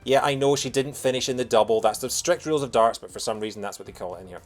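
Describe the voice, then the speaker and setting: A man from Lanarkshire with a soft Scottish accent, doing casual, jokey live gameplay commentary as a streamer. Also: mid-range voice, fast. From Bothwell, Lanarkshire, Scotland. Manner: jokey, punning, animated when reacting to the game. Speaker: video game streamer. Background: game music.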